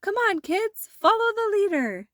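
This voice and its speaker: A woman's voice: very high voice, very animated, measured pace, a bit bright, no room sound, studio quality, pitched above the performer's own voice, heavily acted. A woman voicing a cheerful, innocent magical snowman in a children's storybook, exclaiming with wide-eyed wonder. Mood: excited, urging.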